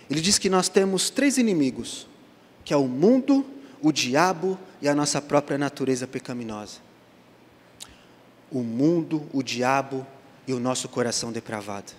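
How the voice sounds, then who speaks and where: A male pastor with a Brazilian Portuguese accent preaching a sermon, dropping to hushed lines and then rising to loud emphasis as he acts out the dialogue. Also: mid-range voice. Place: church sanctuary. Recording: church hall reverb.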